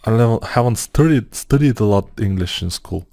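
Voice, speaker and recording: A man with a Bulgarian accent talks through his modeling work at a computer, thinking aloud in a slow, unhurried way with plenty of "uh"s. His voice is low and mellow. The sound is dry and close, like a desk mic at a computer. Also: animated, gravelly, no echo. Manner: thinking aloud, hesitant with fillers, non-native phrasing. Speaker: 3D modeling tutor. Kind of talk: screen-recorded software tutorial.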